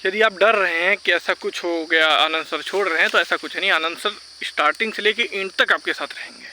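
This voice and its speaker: A man's voice: high voice, brisk, pushed and bright, a little hiss or hum. A man giving informal, argumentative advice, insistently repeating his points and mixing in English words. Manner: mixes English words into speech. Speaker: vlogger advising exam candidates.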